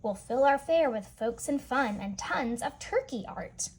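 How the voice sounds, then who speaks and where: A woman's voice: a little high, animated, unhurried, slightly rough. A woman voicing an enthusiastic, scheming townsman in a storybook, declaiming his plan in emphatic rhyme. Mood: eager.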